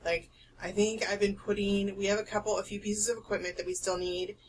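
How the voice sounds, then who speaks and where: A woman co-hosting an art podcast in casual chat, loud and carrying, chatty, swearing freely and laughing often. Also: mid-range voice, flat delivery, fairly quick, distant mic, slight background noise, rough recording. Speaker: podcast co-host. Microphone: desk podcast microphone.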